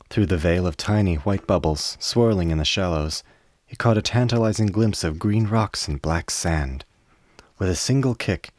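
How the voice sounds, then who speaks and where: A man narrating a radio play in a measured storytelling manner. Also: deep voice, no room sound, studio quality.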